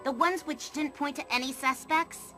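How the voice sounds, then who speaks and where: A woman's voice: sits high, fairly even intonation, normal speed, sharp tone, soft background music. A woman voicing a clever, assertive young female sleuth in a cartoon game, explaining things pointedly. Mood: urgent.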